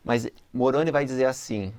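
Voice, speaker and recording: A man leading a relaxed religious lesson, asking questions and thinking aloud as he goes. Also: mid-range voice, normal speed, dry recording. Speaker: gospel lesson teacher. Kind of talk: casual teaching discussion.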